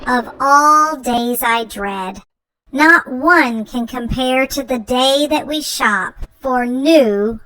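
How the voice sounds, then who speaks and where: A woman voicing a fussy, melodramatic young monster child in a theatrical children's picture book read-aloud, with exaggerated, drawn-out words. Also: sits high, pushed and bright, pitched above the performer's own voice, heavily acted, rough recording. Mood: grumbling dread.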